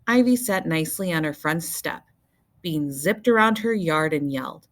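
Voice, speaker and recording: Woman, low pitch, teacher reading aloud, children's storybook narration, expressive, deliberate emphatic phrasing.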